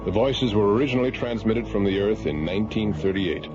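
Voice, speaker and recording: A man as a low-voiced, calm scientist. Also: natural voice. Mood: calm, intent. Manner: deliberate, explanatory. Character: calm, knowing scientist analyst at a space station. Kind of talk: radio drama acting. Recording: dull lo-fi, music behind.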